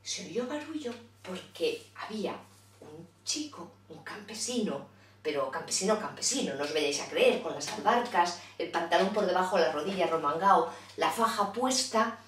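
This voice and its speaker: A woman telling a fairy tale to children, in a lively, expressive way with chatty asides. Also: lowish voice, normal speed, distant mic, a little hiss or hum. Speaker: storyteller. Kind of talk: children's storytelling. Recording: roomy echo, voice off-mic.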